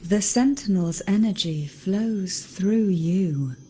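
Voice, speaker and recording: An actress playing a calm, knowing woman guardian in a fantasy game, speaking in a measured, solemn way. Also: medium pitch, a little hiss or hum, close to an everyday voice.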